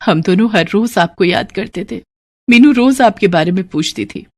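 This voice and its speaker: A devoted village wife played by a woman from a storybook. She sounds plaintive and earnest, an anxious woman speaking at a medium pitch. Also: lively intonation, moderate pace, no room sound. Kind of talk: acted storybook dialogue.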